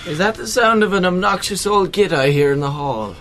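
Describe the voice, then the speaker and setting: A man's voice: high voice, animated, a little fast, a bit bright, a little hiss or hum. An actor with an Irish accent playing a seriously ill man of thirty, blunt and sardonic, in a naturalistic radio play. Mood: sardonic, easygoing. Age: 30s. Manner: dry, blunt, colloquial.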